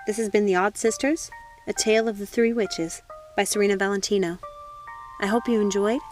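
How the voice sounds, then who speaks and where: A woman's voice: mid-range voice, fairly even intonation, a little fast, darkish tone, faint music behind. A woman narrating a novel as a storybook reader and host. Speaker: audiobook reader and channel host.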